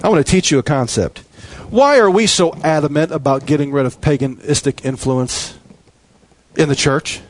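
A man preaching a sermon, his voice sitting a little higher than most men's. He hammers on repeated phrases with an emphatic cadence. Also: moderate pace, poor audio.

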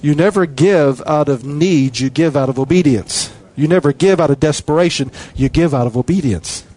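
A man's voice: on the high side, moderate pace, dark tone. A man preaching a sermon with an emphatic, repetitive cadence.

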